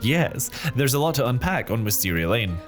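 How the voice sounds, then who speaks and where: Male co-host with a lively, up-and-down delivery and a playful, casual manner. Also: medium pitch, normal speed, soft background music. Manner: playful, animated. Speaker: podcast co-host. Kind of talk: casual recap chat.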